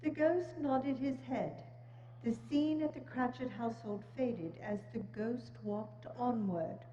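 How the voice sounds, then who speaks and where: A woman reads story narration in a high, soft-toned voice. She is recorded in an echoey church hall with a dull sound and faint hum. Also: unhurried. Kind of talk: scripted story narration, dramatic reading.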